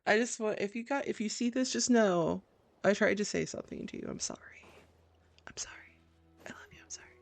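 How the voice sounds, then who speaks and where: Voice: mid-range voice, fairly quick, vocal fry, slight background noise. A game streamer giving casual, gushing and self-deprecating commentary while playing, with game music behind the voice.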